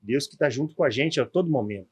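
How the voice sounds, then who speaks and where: A man's voice: mid-range voice, lively intonation, fast, breathy edge. A man in his forties with a gaúcho accent from southern Brazil, a former footballer telling his story as a podcast guest, with frequent tag questions. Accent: gaúcho Portuguese. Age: 40s. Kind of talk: podcast interview.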